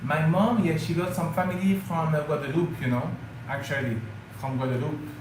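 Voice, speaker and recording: A man's voice: a little high, steady tone, moderate pace. A man with a French accent, a native French speaker, chatting casually with viewers on a travel vlog livestream, hesitant and full of fillers. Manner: hesitant, many fillers, addresses viewers as guys. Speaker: travel vlogger. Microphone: phone or camera mic, live stream. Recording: very echoey room with clear background noise.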